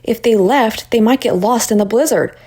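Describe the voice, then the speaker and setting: A woman narrating a children's story in an expressive read-aloud style. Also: a little high, moderate pace, breathy edge.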